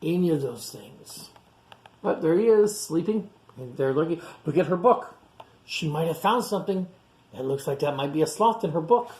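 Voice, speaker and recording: A man reading a picture book aloud to young children, pausing for simple explanations and questions. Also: fairly high pitch, animated, darkish tone. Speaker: storytime reader for young children. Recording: echoey room sound.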